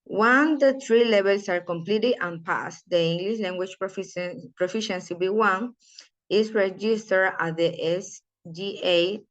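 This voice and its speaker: A woman giving an academic conference presentation in a halting way, often restarting words. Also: medium pitch. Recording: dull lo-fi recording.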